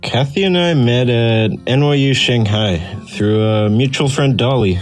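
A man's voice: medium pitch, unhurried, faint music behind. A man reading a personal essay aloud as scripted narration. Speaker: essay reader.

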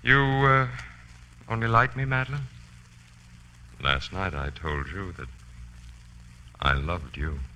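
An actor playing a suave, deliberate killer who courts women, in an old-time radio drama style. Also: male voice, deep voice, lively intonation, pushed and bright, heavily acted. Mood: suave, seductive. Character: smooth, courting lady killer, a menacing strangler. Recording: noisy old radio recording with hum.

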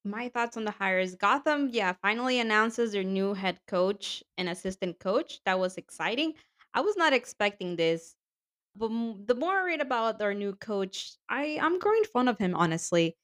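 A woman co-hosting a podcast in casual chat, enthusiastic and laughing often, her voice a little raspy from yelling. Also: mid-range voice, lively intonation, normal speed. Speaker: podcast co-host. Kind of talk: casual chat about women's soccer.

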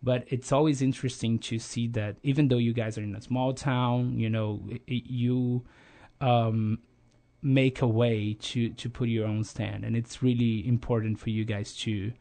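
A man's voice: medium pitch, level tone, moderate pace, warm tone. A man in his thirties from Brazil, speaking English with a Brazilian accent as an interview guest, earnest and hesitant with many fillers and restarts. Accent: Brazilian Portuguese. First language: Portuguese. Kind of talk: casual interview conversation.

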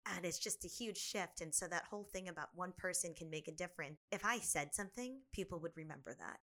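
Young female podcast co-host, slightly low pitch, bright tone, earnest reflective storyteller. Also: brisk. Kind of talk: casual podcast chat.

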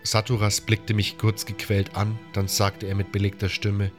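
A man with a fairly low voice narrates a scripted fantasy story in the first person. Music runs quietly underneath his reading. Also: monotone, moderate pace. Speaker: storyteller reading a game-based audio story.

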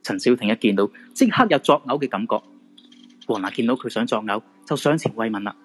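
A man's voice: medium pitch, fairly quick, faint music behind. Man narrating a Cantonese radio drama. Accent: Hong Kong Cantonese.

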